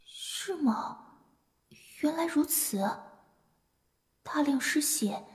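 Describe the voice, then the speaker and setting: A woman's voice: high voice, darkish tone. A woman acting an emotional, desperate young man in an audio drama, pleading. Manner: emotional, crying and whispering pleas. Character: panicked, tearful young man called Gao Dapang, desperately pleading.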